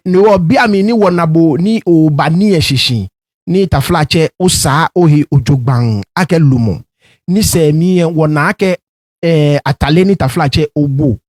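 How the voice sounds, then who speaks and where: Man, fairly high voice, halting interview question, dry recording. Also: a little mellow, no room sound. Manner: halting, many fillers and restarts.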